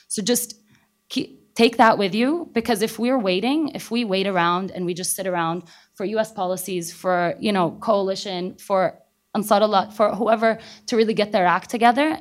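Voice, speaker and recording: A woman with a Syrian accent, a native Arabic speaker, telling an emotional personal story at a panel in an earnest way, with some fillers. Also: medium pitch. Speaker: panel speaker from an aid organization. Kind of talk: personal account in a panel talk.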